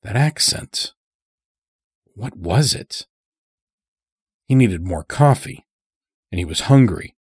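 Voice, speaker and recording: A male storyteller reads dramatic fiction at a slow pace. His voice is husky, very lively in pitch, and recorded dry and close. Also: dry recording. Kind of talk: dramatic scripted narration.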